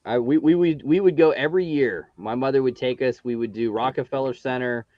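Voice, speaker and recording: An easygoing man in his forties hosts and tells stories in a relaxed, chatty way. His voice comes through dull and muffled, with a dry, close sound. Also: medium pitch, brisk, dry recording. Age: 40s. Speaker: host. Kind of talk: casual chat.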